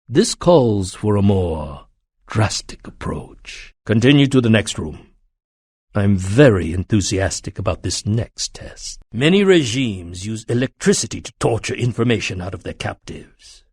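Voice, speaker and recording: A man's voice: medium pitch, darkish tone, husky, dry recording, studio quality, exaggerated voice. A man playing a cold, polite, menacing syndicate scientist in a video game, speaking in a formal, clinical, condescending way. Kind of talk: video game villain voice acting.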